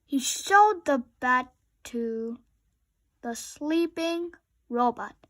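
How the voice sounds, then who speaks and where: Slow, high-voiced woman reading a children's story. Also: animated, fairly bright tone, dry recording, studio quality. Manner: asks young listeners questions. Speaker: storybook reader. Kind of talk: read-aloud children's story narration with asides to listeners.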